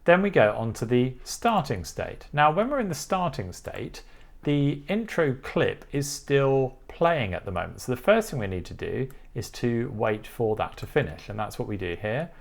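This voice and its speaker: Casting: male tutorial presenter, medium-pitched voice, methodical step-by-step explanatory delivery. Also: big pitch swings, normal speed, gravelly. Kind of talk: explanatory coding tutorial walkthrough.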